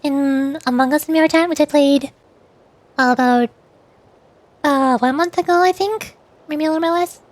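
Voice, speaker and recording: A woman's voice: high voice, normal speed, pauses often, no echo. A woman streaming as a VTuber, chatting casually and rambling, often restarting her sentences and laughing at herself. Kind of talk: casual livestream chat.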